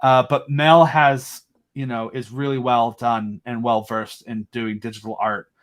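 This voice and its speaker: A man's voice: medium pitch, flat delivery, measured pace. A man as an interview guest, eagerly explaining his own creative project in casual, rambling chat. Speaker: guest, creator of an audio drama.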